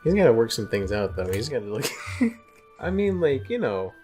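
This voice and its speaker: A young man in casual co-host chat, rambling and halting, leaning heavily on filler words. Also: medium pitch, big pitch swings, darkish tone. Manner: halting, rambling, heavy use of "like". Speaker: co-host commentator. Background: music in the background.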